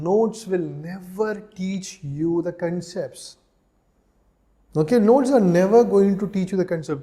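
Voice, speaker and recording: Man, Indian accent, exam coach, slightly high voice, very mellow, explanatory lecture, repetitive emphasis. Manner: emphatic, repeats key phrases, frequent "okay" check-ins.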